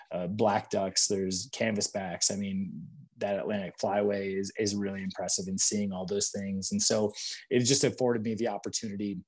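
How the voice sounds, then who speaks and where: A man's voice: on the low side, darkish tone. A man in his thirties from Michigan, talking casually in an interview, rambling with fillers and restarts. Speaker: outdoor gear category manager, interview guest.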